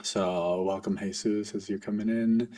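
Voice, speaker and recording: A man leading a guided meditation for a group as facilitator, speaking informally in a gentle, reassuring, unhurried way. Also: fairly low pitch, steady tone, slight background noise.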